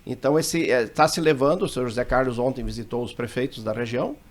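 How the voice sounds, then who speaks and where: A man's voice: mid-range voice, fairly even intonation, fast. A man from southern Brazil, a member of a local association, talking on a radio program and listing places and facts. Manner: talkative, lists places and facts. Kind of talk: radio interview. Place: radio studio.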